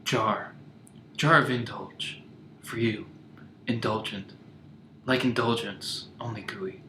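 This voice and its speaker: A man playing a terse, cryptic and quietly menacing figure in a satirical absurdist radio play, with deadpan, clipped delivery. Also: on the low side, slow. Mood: cool, cryptic. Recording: echoey room with faint noise.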